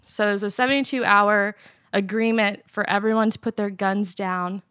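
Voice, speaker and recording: Young female guest from Afghanistan, smooth clear voice, dry close sound, earnest and steady. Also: mid-range voice, normal speed, dry recording. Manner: earnest, steady, soft laughs. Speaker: interview guest. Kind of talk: personal storytelling in an interview. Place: apartment in Nashville.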